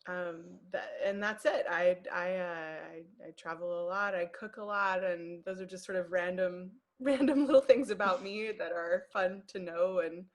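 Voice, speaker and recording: A woman in her late thirties with an American Pacific Northwest accent, talking as an interview guest in a warm, reflective way. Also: lowish voice, moderate pace, hardly any pauses. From United States, Seattle. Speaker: guest, emotional intelligence coach. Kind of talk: conversational interview.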